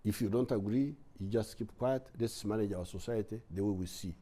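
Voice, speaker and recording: A man giving blunt interview answers in a halting, emphatic way, repeating his words, with a husky voice. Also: lowish voice, dark tone.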